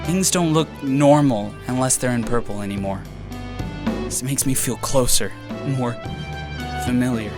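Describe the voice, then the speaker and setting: Male actor as a young man obsessed with his lost memories, for an audio drama; halting, self-questioning, average pitch, over a music bed. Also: close to an everyday voice. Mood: brooding. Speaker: lead character's monologue. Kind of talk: audio drama inner monologue.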